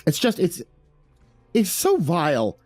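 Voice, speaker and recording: A man's voice: huge pitch swings, slow, a little mellow, no echo, faint music behind, heavily acted. A man voicing a flustered young man who stammers and yelps in alarm. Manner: stammering, high-pitched outbursts.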